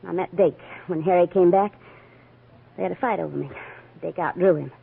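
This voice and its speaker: A worn-down old west gal, husky and folksy, urgent, thin vintage radio sound. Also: female voice, lowish voice, a little fast, full character voice. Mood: confiding, tense. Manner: plain folksy Western speech, urgent. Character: weary, anxious frontier woman, outlaw's mistreated companion. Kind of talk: 1950s radio western acting. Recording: narrow vintage 1950s radio recording.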